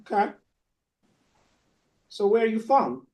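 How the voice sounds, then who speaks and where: A man's voice: high voice, big pitch swings, darkish tone. A man from hosting a podcast, opening with a rhymed spoken-word intro and then questioning his guests. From Boston. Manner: slow, rhythmic spoken-word delivery. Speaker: podcast host.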